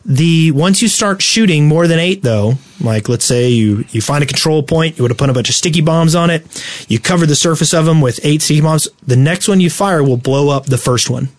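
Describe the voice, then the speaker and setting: A man's voice: fairly high pitch, animated, brisk, no echo. A man co-hosting a casual gaming podcast, reading out facts in an explanatory way and adding his own asides. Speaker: podcast co-host.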